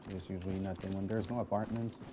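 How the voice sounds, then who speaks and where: Gaming host, male, French Canadian accent, low-pitched, chatty and rambling over audible game sounds. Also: fairly even intonation, fast, noisy background. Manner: chatty and rambling, often restarting words, talks straight to viewers. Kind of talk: casual gameplay commentary.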